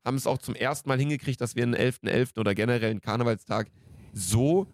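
A man's voice: medium pitch, quick pace, dry recording, clean studio sound. A man in his late twenties co-hosting a podcast in casual, slangy, jokey banter. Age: late 20s. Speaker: podcast co-host.

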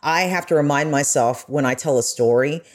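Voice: medium pitch, fairly quick. A journalist reflecting personally in conversation, speaking in an earnest, encouraging way.

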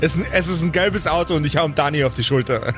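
A man's voice: a little high, quick pace. A man playing a tabletop game, improvising casually in character. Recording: loud music behind the voice. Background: music.

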